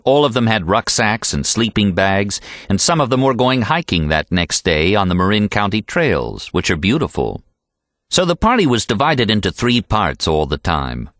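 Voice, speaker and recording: A man's voice: fairly low pitch, big pitch swings, normal speed, a bit bright, dry recording, clean studio sound. An American man reading a literary novel aloud as an audiobook narrator.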